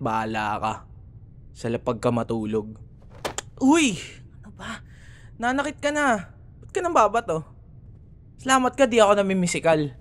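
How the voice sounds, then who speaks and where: A young man with a Filipino accent playing a cocky, teasing, easily annoyed college rival in an ASMR roleplay audio. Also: on the high side. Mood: annoyed, mocking, then indignant. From Philippines. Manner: teasing, sarcastic, exasperated.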